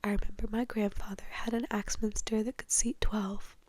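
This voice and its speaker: A woman voicing a stiff, impatient elderly male wizard official in a breathy whisper, curt and dry, for a storybook reading. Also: mid-range voice, little pitch movement, normal speed, a little mellow, dry recording, natural voice.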